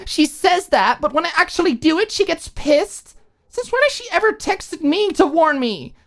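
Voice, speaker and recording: A woman's voice: extremely high pitch, very animated, moderate pace, pitched above the performer's own voice, exaggerated voice. A woman voicing an indignant young female student, huffy and exasperated, in an audiobook drama. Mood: indignant ranting. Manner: huffy, exasperated, rhetorical questions. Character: Maud, indignant young woman student ranting at her roommate.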